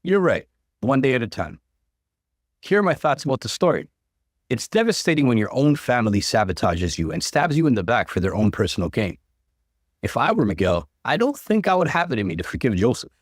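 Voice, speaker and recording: A man reading an online story aloud as the channel's narrator, in an expressive storytelling manner. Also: mid-range voice, fairly quick, no echo, studio quality.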